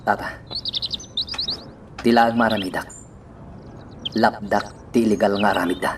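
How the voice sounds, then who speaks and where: A man's voice: on the low side, fairly even intonation, normal speed, natural voice. A man with an Ilocano accent playing an earnest young man on a secret mission in a radio drama. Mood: earnest, troubled. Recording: distant mic, noisy, birdsong behind.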